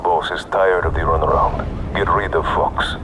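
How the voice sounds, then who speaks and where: A man's voice: steady tone, moderate pace, close to an everyday voice. An actor playing a curt, impatient male henchman barking orders in a video game. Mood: menacing. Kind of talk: video game cutscene acting. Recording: noisy, with aircraft rumble.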